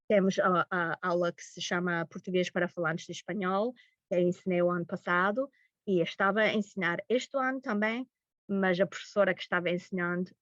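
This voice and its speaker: A middle-aged woman from Massachusetts, a teacher presenting informally and haltingly, mixing in words. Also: lowish voice, fairly bright tone, no echo. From Massachusetts, United States. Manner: halting, mixes in English words. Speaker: high school language teacher presenting. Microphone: video call. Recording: muffled lo-fi webinar audio.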